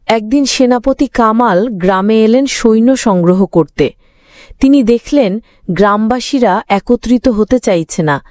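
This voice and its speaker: A woman's voice: a little high, unhurried, no room sound. Woman narrating a moral story. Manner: even, didactic storytelling.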